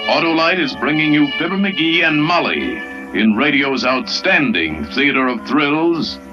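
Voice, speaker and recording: An American man announcing an old-time radio thriller in a portentous, deliberate, dramatic style. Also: fairly high pitch, sing-song. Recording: muffled old radio, loud music behind.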